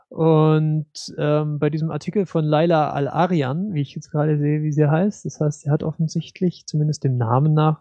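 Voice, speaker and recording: A man co-hosting a casual podcast chat, talking haltingly with many fillers and restarts. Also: fairly high pitch, gravelly, dark tone, no echo, highs cut off. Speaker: podcast co-host.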